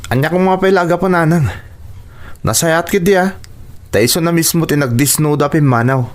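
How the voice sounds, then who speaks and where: A man's voice: on the high side, moderate pace, natural voice. A man acting in an Ilocano radio drama as a stubborn adult son pining for the woman who left, with an Ilocano accent and music underneath. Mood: defensive.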